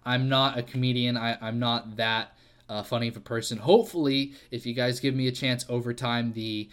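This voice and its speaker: A man's voice: mid-range voice, normal speed, smooth. A man hosting a casual solo podcast, rambling and self-deprecating, with plenty of fillers and swearing. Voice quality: fairly monotone delivery. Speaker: podcast host.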